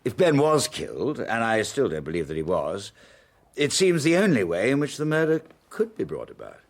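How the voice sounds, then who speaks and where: A man's voice: mid-range voice, wildly swooping pitch, normal speed. An actor playing an urbane, sardonic British theatre man in a radio detective drama, with a dry, suave manner. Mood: sardonic, composed.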